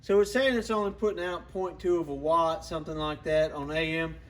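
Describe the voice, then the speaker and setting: A man's voice: on the high side, steady tone, a little fast. A man hosting a casual hands-on product walkaround, talking in a plainspoken, informal, explanatory way. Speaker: product reviewer and channel host.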